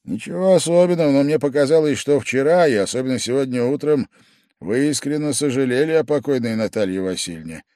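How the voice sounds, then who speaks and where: A man doing an irritable, proud gentleman. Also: medium pitch, animated, fairly quick, dry recording, clean studio sound, pitched above the performer's own voice, lightly acted. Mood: probing. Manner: curt and exasperated.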